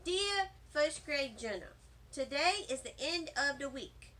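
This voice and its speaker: A woman playing a high, bright, dramatic little first-grade girl. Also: animated, unhurried, heavily acted. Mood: earnest, dramatic. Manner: childlike misspoken grammar, emphatic, dramatic. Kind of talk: children's storybook read-aloud.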